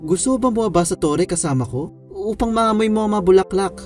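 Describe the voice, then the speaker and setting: A man's voice: high-pitched, a little fast, darkish tone, faint music behind, natural voice. A man playing a young, eager prince in a children's fairy tale. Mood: courting.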